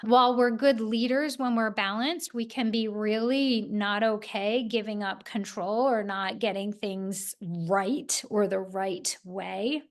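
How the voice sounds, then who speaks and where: A woman hosting a podcast, explaining ideas in a casual, animated way. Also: mid-range voice, takes it slowly, hardly any pauses. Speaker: podcast host.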